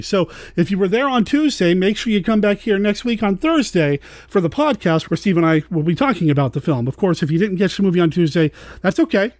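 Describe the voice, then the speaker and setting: A man's voice: sits high, darkish tone, dry recording, clean studio sound. A man hosting a podcast, talking in a casual, chatty and conversational way. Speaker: podcast host.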